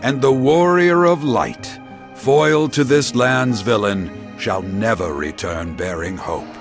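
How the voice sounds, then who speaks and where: A man's voice: mid-range voice, slow, vocal fry. A man playing an enigmatic, knowing guide in a video game, with formal archaic diction and a theatrical, portentous delivery. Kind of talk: dramatic video game cutscene acting. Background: game music.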